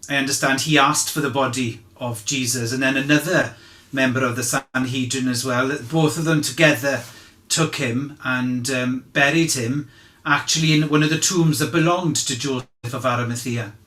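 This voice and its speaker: A man playing a candid, humble fisherman giving halting, conversational eyewitness testimony in a courtroom drama. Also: mid-range voice, fairly even intonation, bright, forceful tone, close to an everyday voice. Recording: roomy, echoing sound.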